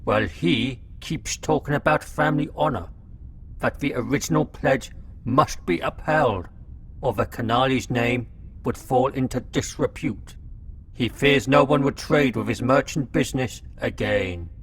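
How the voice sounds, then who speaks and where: A man's voice: unhurried, fairly bright tone. A man voicing a talking locust, a gossipy messenger in a radio drama. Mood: reporting gravely. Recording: clear background noise with low rumble.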